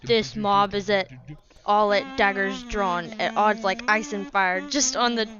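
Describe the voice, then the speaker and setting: A man's voice: sits high, steady tone, measured pace. A man reading a verse play as an amateur performer. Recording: dull recording, crowd behind.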